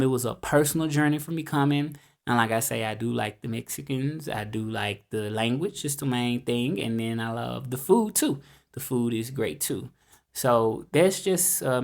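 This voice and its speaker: A man's voice: medium pitch, a bit bright. A man in his thirties with a Southern US accent, talking casually to camera in a rambling vlog full of fillers and restarts. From United States. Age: 30s. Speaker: vlogger. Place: home in Mexico, dogs barking outside.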